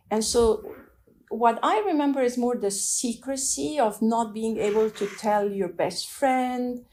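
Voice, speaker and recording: A middle-aged woman from Afghanistan with a French accent, thoughtfully telling her own story of migration with pauses and restarts. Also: medium pitch. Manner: thoughtful, soft-spoken, pauses and restarts while recalling. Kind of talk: reflective personal storytelling.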